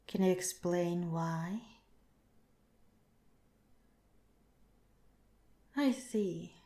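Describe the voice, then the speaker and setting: Soft, mellow alien researcher, played by a woman. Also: lowish voice. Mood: curious. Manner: measured, formal, detached politeness. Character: calm, clinical alien researcher reassuring a captive human. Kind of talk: ASMR roleplay. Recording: roomy, echoing sound.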